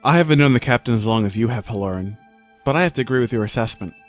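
A man's voice: medium pitch, racing, faint music behind, close to an everyday voice. A man playing a wry, reasonable spaceship crewman in an audio drama. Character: wisecracking but reasonable male spaceship crewman.

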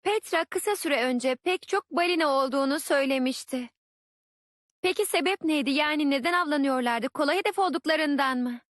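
Inquisitive cartoon youngster played by a woman, high-pitched, very dry recording. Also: brisk, no room sound, close to an everyday voice. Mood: curious. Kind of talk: dubbed cartoon dialogue.

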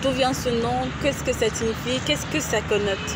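Woman interviewing amid loud noise. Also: a little high, moderate pace. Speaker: interviewer for an online TV channel. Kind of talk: conversational interview. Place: outdoors on a park bench. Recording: loud outdoor ambient and traffic noise. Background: loud outdoor urban ambience.

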